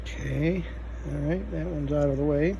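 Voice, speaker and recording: A man giving unscripted hands-on repair commentary, halting and thinking aloud as he works. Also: on the high side, creaky. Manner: halting, muttering thoughts aloud while working. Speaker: vintage electronics repair video host. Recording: faint background noise.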